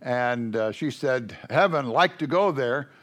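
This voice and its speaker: An older man preaching to a congregation in a loud, folksy, joking way, rambling with many fillers. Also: medium pitch, animated, unhurried.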